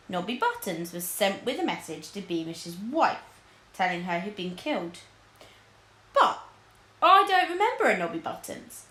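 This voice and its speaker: A woman's voice: a little high, fairly bright tone, reverberant. A woman voicing a doubting male army captain in a storybook, indignant and insistent. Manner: insistent, challenging.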